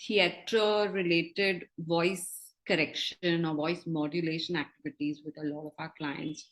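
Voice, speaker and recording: A woman giving casual, punchy advice as an expressive communication coach. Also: sits low, a little slow, low-quality recording. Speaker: communication coach, podcast guest.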